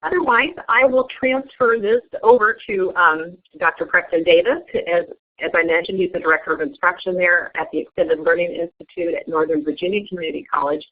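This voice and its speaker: A woman's voice: on the low side, brisk. A woman hosting an online meeting, presenting with frequent fillers and restarts. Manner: hesitant, many fillers. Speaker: webinar host. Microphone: web conference audio. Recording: tinny phone-like line.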